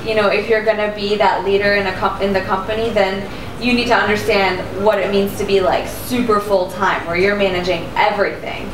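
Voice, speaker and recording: A woman's voice: medium pitch, fairly even intonation, a little fast, background noise. A woman speaking as a manager in a casual staff review, encouraging and supportive as she talks. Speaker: manager giving employee reviews. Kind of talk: casual workplace meeting talk. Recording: very echoey room, distant mic, background music.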